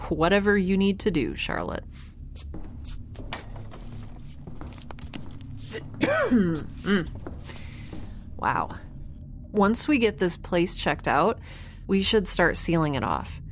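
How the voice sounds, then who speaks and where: A woman's voice: on the low side, wildly swooping pitch, slow pace, pauses often, background noise, full character voice. A woman playing a wry, curious, stubborn young spaceship dweller in a naturalistic sci-fi audio drama, rambling and hesitant with sarcastic asides.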